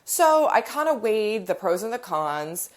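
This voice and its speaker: A woman's voice: medium pitch, very animated, a little slow. A woman hosting a podcast, stuffy and nasal from a cold, chatting in a rambling storytelling way, recorded on her phone in her living room. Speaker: podcast host. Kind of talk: casual chat.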